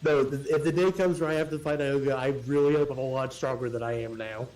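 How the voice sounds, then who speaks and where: A man's voice: mid-range voice, steady tone, quick pace, a little mellow, slight background noise, low-quality recording. A man bantering casually as a player during a tabletop role-playing game.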